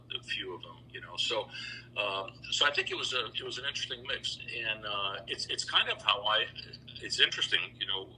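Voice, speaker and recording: A middle-aged man telling stories over a video call, relaxed and rambling with lots of fillers. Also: on the low side, slight background noise, poor audio. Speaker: interview guest, founder of a choral institute. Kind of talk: casual storytelling interview.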